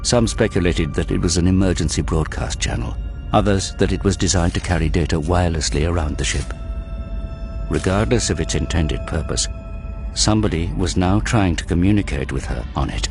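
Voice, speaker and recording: A man with a low voice narrates an audio drama in a dramatic, scripted style. Music plays clearly behind him. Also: fairly quick, darkish tone.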